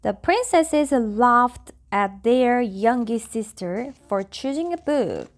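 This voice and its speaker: A woman's voice: fairly high pitch, lively intonation, slow. A woman with a Korean accent, a native Korean speaker, reading stories aloud and chatting casually as a vlogger. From South Korea. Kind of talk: storybook reading with casual chat.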